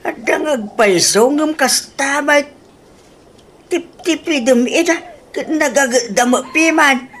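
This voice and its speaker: A woman's voice: high-pitched, slow pace, fairly bright tone, slight background noise. A woman acting as a grumbling, concerned elderly father in a comedy radio drama, fretful and chiding.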